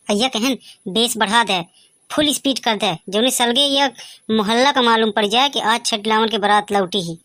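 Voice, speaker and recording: A man voicing a brash young village fellow in a Bagheli comedy cartoon, with a high boyish voice, a Bagheli accent and a pushy, argumentative way of talking. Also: bright, forceful tone, a little hiss or hum. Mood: excited, bossy. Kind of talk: dialect comedy cartoon voice acting.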